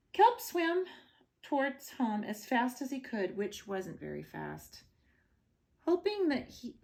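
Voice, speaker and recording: A woman's voice: mid-range voice, vocal fry. A woman reading a children's picture book aloud as a bedtime story, expressively and with short asides. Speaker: storybook reader.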